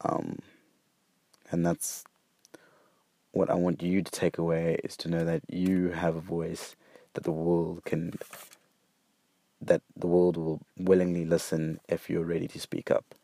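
A man's voice: sits low, completely flat delivery, a little slow, vocal fry, long pauses, mellow, dry recording. A young man hosting his own podcast, talking earnestly and hesitantly, stumbling over repeated words and fillers. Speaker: podcast host. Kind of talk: reflective solo podcast monologue.

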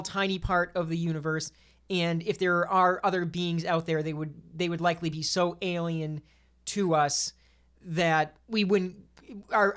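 Mid-pitched voice, halting and thoughtful. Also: a bit bright. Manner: halting, thoughtful, often rephrasing. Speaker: podcast participant. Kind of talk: casual discussion and critique of fiction.

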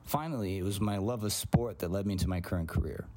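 Greek-born man, 40s, low voice, calm steady read of a personal piece. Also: quick pace. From Greece. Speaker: coach and teacher.

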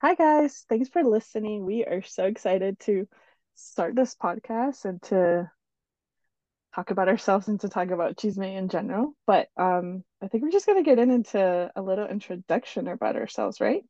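A young woman chats as a podcast co-host, explaining things in a friendly, relaxed way. Her tone is mellow and warm. The recording is dry but slightly dull and muffled. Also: medium pitch, moderate pace, no echo. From born in Ecuador, raised in New Jersey. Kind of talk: casual chat.

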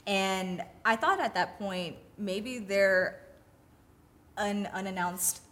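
A woman's voice: medium pitch, takes it slowly, a bit bright. A woman co-hosting a casual film discussion, explaining things and putting questions to the group. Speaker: podcast co-host.

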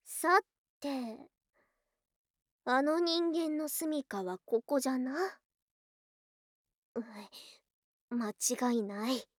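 High-pitched haughty snake-spirit girl. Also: extremely slow, pauses often, dry recording, studio quality. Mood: eager, impatient. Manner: archaic haughty old-fashioned speech, theatrical. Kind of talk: Japanese ASMR situation voice drama.

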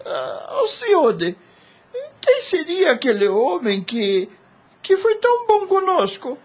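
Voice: huge pitch swings, slow, heavily acted. An adult performer playing a poor, tender-hearted little brother in a radio drama, childlike and plaintive. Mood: curious, tender. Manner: childlike, plaintive, high-voiced.

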